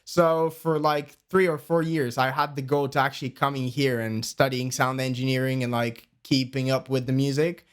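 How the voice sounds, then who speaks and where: A man's voice: medium pitch, flat delivery, normal speed, few pauses, clean tone. A man in his early twenties from Bulgaria with a Bulgarian accent, talking casually and chattily as a podcast guest, explaining things in a rambling way. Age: early 20s. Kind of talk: casual conversational interview.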